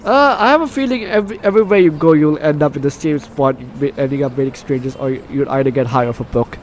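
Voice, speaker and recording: A man's voice: on the high side, quick pace, a little hiss or hum. A man hosting a casual let's play, reading game text aloud with commentary and often stumbling over and repeating phrases. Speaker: gaming video host.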